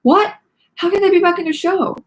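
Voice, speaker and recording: A woman voicing a haughty, indignant teenage rival with a shrill, accusing delivery in a children's book read-aloud. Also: fairly even intonation, a little fast. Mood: outraged.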